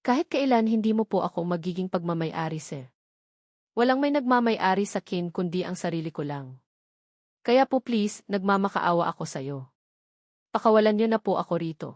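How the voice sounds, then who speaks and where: A woman's voice: mid-range voice, lively intonation, moderate pace, darkish tone, no echo, clean studio sound, close to an everyday voice. A woman voicing a frightened but defiant young captive woman, tearful and bitter, in a dramatic romance audio novel. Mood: defiant pleading. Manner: pleading and hissing in anger.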